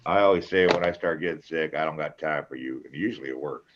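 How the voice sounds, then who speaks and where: Deep-voiced man, trucker type, rambling folksy chat, dull lo-fi stream sound. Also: quick pace. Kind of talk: casual chat.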